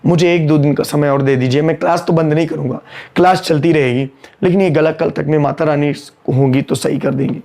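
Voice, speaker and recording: A man's voice: fairly high pitch, steady tone, vocal fry, mellow. A man teaching an accountancy class online in Hindi mixed with English terms, working sums aloud and scolding his students, his throat sore and strained. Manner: brisk, scolding, repeats key lines.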